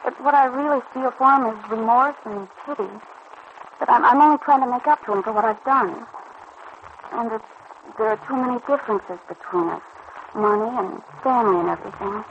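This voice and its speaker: A woman's voice: fairly high pitch, brisk, lightly acted. An actress playing a troubled, lovesick young girl in a vintage radio serial, speaking in an earnest, hesitant, pleading way. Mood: distressed, pleading. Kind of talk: 1940s-50s radio serial acting. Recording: dull, noisy old radio recording.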